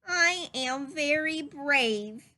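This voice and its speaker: Storybook baby robin, done by a woman: high-pitched, deliberate, timid yet determined, lilting. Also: animated, sharp tone, heavily acted. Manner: deliberate, drawn-out recitation. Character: tiny nervous young robin gathering courage. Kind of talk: read-aloud children's storybook.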